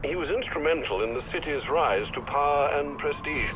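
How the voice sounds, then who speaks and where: Expressive genteel butler, radio. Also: male voice, fairly high pitch. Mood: informative, courteous. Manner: formal, refined, measured. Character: genteel, deferential, formal manservant. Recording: dull radio sound with loud noise.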